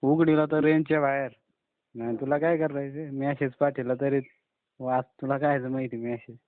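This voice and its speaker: A man's voice: mid-range voice, level tone, moderate pace, no echo. A young man, chatting colloquially and a bit grumblingly with a friend on a phone call. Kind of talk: casual phone chat with a friend. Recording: narrow, tinny phone call recording.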